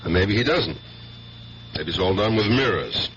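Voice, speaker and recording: Casting: low, wry, inquisitive detective for 1970s radio drama; muffled broadcast recording. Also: male voice, animated, moderate pace, a bit bright, a little hiss or hum. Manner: wry, probing, conversational. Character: wry, probing male police detective sergeant.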